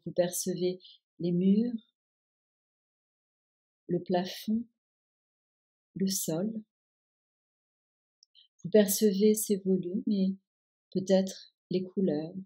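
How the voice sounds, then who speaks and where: A woman guiding a yoga nidra relaxation with calm, soothing instructions in a soft-edged, breathy voice. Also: lowish voice, slow, long pauses, poor audio. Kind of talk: guided meditation, relaxation instructions.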